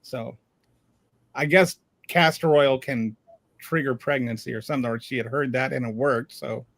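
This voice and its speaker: A man from Louisiana hosting a casual panel chat, talking in a halting way with lots of restarts. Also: medium pitch, vocal fry, fairly bright tone, dry recording. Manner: halting, full of fillers and restarts. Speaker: host.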